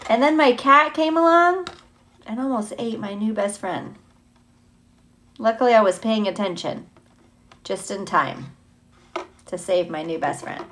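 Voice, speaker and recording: Female teacher, mid-pitched and unhurried, playful and chatty, casual children's storytime read-aloud. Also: noisy background. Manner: playful, chatty, asks listeners questions. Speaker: teacher reading a picture book aloud.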